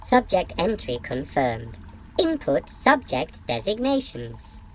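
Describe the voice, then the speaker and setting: A woman's voice: deep voice, very animated, slow, darkish tone, a little hiss or hum, heavily acted. A woman playing a strange alien computer terminal with an echoing synthetic voice, giving procedural announcements in an audio drama. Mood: formal, procedural.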